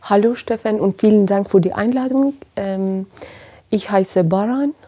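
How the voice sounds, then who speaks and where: A woman's voice: medium pitch, a little slow, mellow, breathy edge. A woman from Iran, heads a language school, answering interview questions hesitantly with many fillers. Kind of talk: podcast interview.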